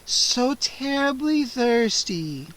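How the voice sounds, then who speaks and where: A man voicing a hungry teenage girl vampire who talks in a slow, hissing whisper. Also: extremely high pitch, sing-song, fairly bright tone, voice pushed up, heavily acted, rough recording. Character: hungry female teen vampire, sly and teasing.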